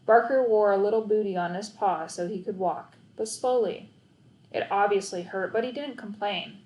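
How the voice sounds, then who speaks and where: A woman's voice: mid-range voice, normal speed, darkish tone. A woman narrating a children's novel aloud as a storybook reader. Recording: echoey, roomy sound.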